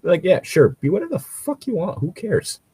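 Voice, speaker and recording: A man's voice: high-pitched, big pitch swings, brisk, dark tone, husky, no echo. A man chatting casually as a co-host, joking and ranting sarcastically with plenty of swearing. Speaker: co-host reading and mocking fan fiction.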